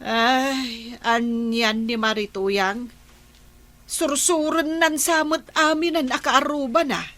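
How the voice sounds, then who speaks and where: A woman playing a patient, sensible village housewife in an Ilocano comedy radio drama, reasoning in drawn-out phrases with an Ilocano accent. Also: sits high, steady tone, a bit bright.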